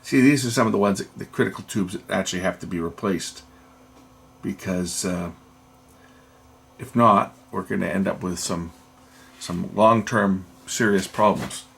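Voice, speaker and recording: A man's voice: low voice, moderate pace, gravelly, a bit bright. A man giving unscripted, hands-on repair commentary, explaining matter-of-factly and thinking aloud as he works. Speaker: electronics repairer and video host. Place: indoors at a repair workbench.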